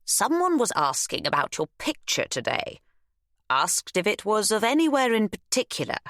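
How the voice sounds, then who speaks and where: A woman voicing a nosy, chatty older woman who runs a shop, insistent and quick to huff. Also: mid-range voice, big pitch swings, a bit bright, no echo, studio quality, voice pushed up.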